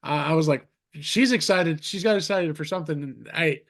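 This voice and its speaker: A man hosting a podcast over a video call, rambling warmly, restarting his sentences and heaping compliments on his guests. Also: on the high side, moderate pace, gravelly. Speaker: podcast host. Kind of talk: casual interview chat.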